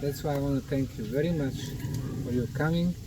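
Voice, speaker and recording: Man lecturing, distant outdoor mic. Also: medium pitch. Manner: emphatic, didactic, short deliberate phrases. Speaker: research project leader addressing student volunteers. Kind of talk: explanatory talk to visiting volunteers. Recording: noisy outdoor recording, distant microphone.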